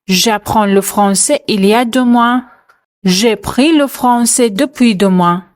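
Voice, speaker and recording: A woman reading out a French quiz for learners, pronouncing each answer choice and explanation in a measured, scripted way. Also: on the high side. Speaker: French teacher.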